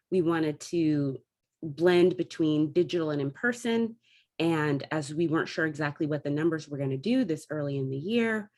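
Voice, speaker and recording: Low-pitched woman explaining in an interview. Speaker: theatre artistic director and actor, interview guest. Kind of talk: conversational interview.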